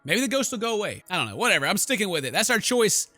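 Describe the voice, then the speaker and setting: Bright, higher-voiced man playing games. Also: sing-song, racing, no room sound, faint music behind. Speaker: gaming YouTuber. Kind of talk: let's play commentary with dramatic read-alouds.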